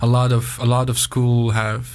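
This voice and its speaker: A young man from Estonia, a native Russian speaker, talks casually as a podcast guest. His voice sits at a middling pitch for a man, and he rambles loosely, scattering "like" through his sentences. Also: flat delivery, normal speed. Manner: loose and chatty, leaning on "like" fillers. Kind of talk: casual conversation.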